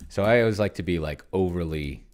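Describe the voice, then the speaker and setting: A man casually thinking aloud as he plays a solo tabletop game, halting and muttering to himself as he types. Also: sits low, darkish tone. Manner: self-described pedantic. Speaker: solo tabletop player and video host.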